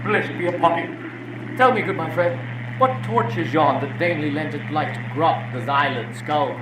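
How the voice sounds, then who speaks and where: An actor playing an anxious, sorrowful friar in a Shakespearean radio drama, theatrical and full of alarmed exclamation. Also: male voice, fairly high pitch, normal speed, close to an everyday voice. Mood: wary. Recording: echoey space with faint noise.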